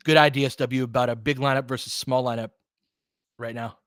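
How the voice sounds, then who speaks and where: A man with a mid-pitched voice calls a basketball game in a very dry, close recording. He speaks in short, clipped phrases as the play unfolds and mixes in informal asides and casual analysis. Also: fairly even intonation, fairly quick, fairly bright tone, no echo. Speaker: play-by-play commentator.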